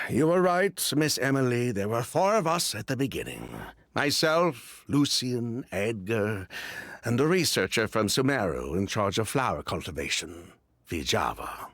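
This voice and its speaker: A man's voice: medium pitch, big pitch swings, measured pace, a bit bright, no room sound. A shady, guarded merchant in a video game. His mid-range voice swings widely and theatrically, formal and condescending in its politeness. It is recorded dry, with no room sound. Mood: resigned, bitter. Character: smooth-talking, guarded male merchant with a criminal past.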